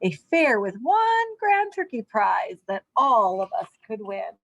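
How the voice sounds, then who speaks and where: Woman reading as a scheming, lively storybook townsman. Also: fairly high pitch, slow, no echo, muffled recording, heavily acted. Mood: eager, scheming. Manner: declaring brightly, persuasive. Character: scheming, cheerful townsman, eager to trap a turkey. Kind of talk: children's storybook character voice.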